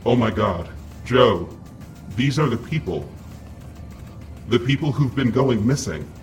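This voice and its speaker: A man's voice: mid-range voice, lively intonation, a little slow, faint music behind, natural voice. Distant, earnest superhero played by a man. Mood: shocked. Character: earnest young male superhero confronting villains. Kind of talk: audio drama acting.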